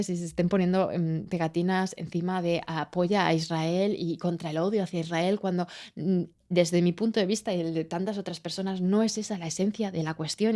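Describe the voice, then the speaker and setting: Chilean-born woman, spanish accent, solo podcast, mellow lowish voice, thinks out loud with lots of ums and restarts. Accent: Spain Spanish. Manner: searching, hesitant, many fillers and restarts. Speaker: podcast host. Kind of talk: reflective solo podcast monologue.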